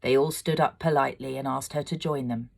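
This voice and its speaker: Low-voiced woman reading a children's story. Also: fairly even intonation, fairly quick, gravelly. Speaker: amateur storybook reader. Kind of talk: scripted narration of a children's story, read aloud.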